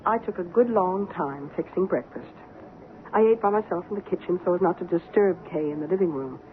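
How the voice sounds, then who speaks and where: A woman's voice: on the low side, brisk, lightly acted. Sensible woman storyteller, played by an actress, old radio sound. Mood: suspenseful recounting. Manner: earnest, suspenseful storytelling. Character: sensible woman recounting her housemate's eerie obsession. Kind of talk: old-time radio drama acting. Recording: noisy, narrow-band old radio recording.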